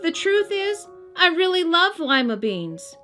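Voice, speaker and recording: A woman voicing a young, self-conscious schoolgirl in a storybook, in an expressive, emotional manner. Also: extremely high pitch, a little slow, faint music behind, pitched above the performer's own voice, exaggerated voice. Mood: eager, relieved.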